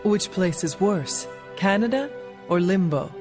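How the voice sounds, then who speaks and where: A composed warrior keeper of an old abbey, played by an actress in a game cutscene. She has a mid-pitched voice and speaks with formal, measured care and a quiet curiosity. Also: animated, over music, lightly acted. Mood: calm, curious. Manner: formal, measured, few contractions. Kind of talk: video game cutscene dialogue.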